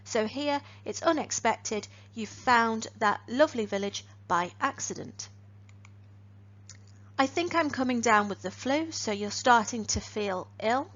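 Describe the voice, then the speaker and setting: A woman teaches a lesson, her voice sitting a little high. She speaks clearly and deliberately and lifts each new phrasal verb. The recording sounds slightly dull. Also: fairly bright tone. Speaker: English teacher. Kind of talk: online grammar lesson.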